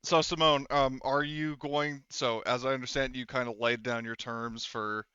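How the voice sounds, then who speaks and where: A man's voice: mid-range voice, steady tone, moderate pace, sharp tone, clean tone, dry recording, lo-fi sound. A man running a tabletop game as game master, speaking casually and checking in with players. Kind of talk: casual game narration with pauses.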